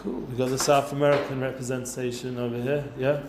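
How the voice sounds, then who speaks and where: A man's voice: medium pitch, level tone, quick pace, noisy background. Chatty man in an echoey room. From North London, England. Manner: chatty, informal, lots of fillers and asides. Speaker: guest speaker for students. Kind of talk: casual talk to a youth group.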